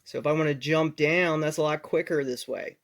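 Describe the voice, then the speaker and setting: A man's voice: on the high side, fairly even intonation, a little fast, fairly bright tone. A man presenting a casual, step-by-step tech tutorial, explaining things directly to the viewer.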